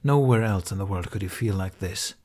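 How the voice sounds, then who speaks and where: A man reading fiction as an audiobook narrator, with expressive, dramatic storytelling. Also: low-pitched, fairly quick.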